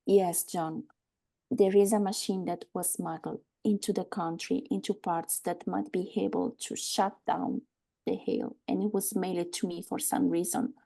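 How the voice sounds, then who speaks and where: Actress as an earnest, mellow-voiced woman. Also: lowish voice, fairly even intonation, a little slow, vocal fry. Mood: matter-of-fact. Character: earnest, frank warehouse woman named Aoife, uneasy but composed. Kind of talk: live screenplay table read.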